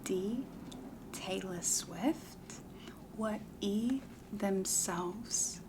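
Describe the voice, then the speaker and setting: A woman playing a self-assured, chatty matchmaker in a comedy ASMR roleplay, whispering softly in a deliberate, confiding, matter-of-fact way. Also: mid-range voice, noisy background. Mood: patient, businesslike. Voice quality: breathy whisper with heavy creak. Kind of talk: soft-spoken whispered ASMR roleplay questionnaire.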